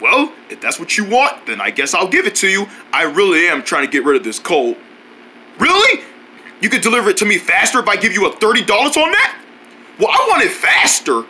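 A man voicing a clumsy, naive, excitable cartoon fellow who stammers and exclaims, in an amateur cartoon style. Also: high-pitched, fairly quick, slight background noise, voice pushed down, exaggerated voice. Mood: eager.

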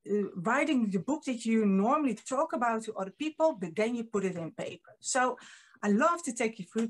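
Dutch-accented woman presenting over video call. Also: fairly high pitch. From Netherlands. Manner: earnest, frequent ums and uhs. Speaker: guest speaker, publisher. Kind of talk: online presentation. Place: home room with painting. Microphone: video call webcam.

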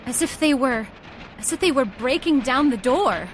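An actress playing an idealistic young factory heiress in a radio horror play, urgent and pleading in a theatrical way. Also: female voice, high voice, animated, moderate pace, noisy background. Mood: alarmed. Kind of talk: old-time radio horror acting.

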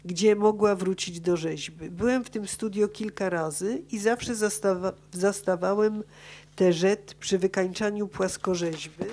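A woman's voice: mid-range voice, a little slow, darkish tone, slight background noise. A woman reading an academic paper aloud at a conference, stumbling now and then over words as she follows her script. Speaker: conference speaker. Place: conference auditorium. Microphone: gooseneck table microphone.

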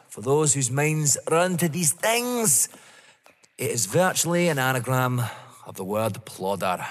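An actor playing a methodical, smugly polite police inspector in an audio drama, formal and insinuating. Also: male voice, fairly high pitch. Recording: long hall-like reverb.

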